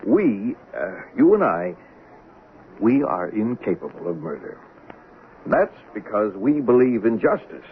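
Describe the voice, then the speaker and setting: An actor playing a patient, placating police official who speaks haltingly in a 1970s radio drama. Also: male voice, lowish voice, big pitch swings. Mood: persuasive, soothing. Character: soothing, hesitant police official humoring a deluded woman. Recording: narrow, hissy old radio broadcast.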